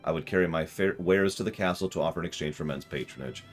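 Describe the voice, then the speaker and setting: A man reading a nostalgic old game shopkeeper's lines aloud in a plain, low voice. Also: quick pace, soft background music, close to an everyday voice. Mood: wistful.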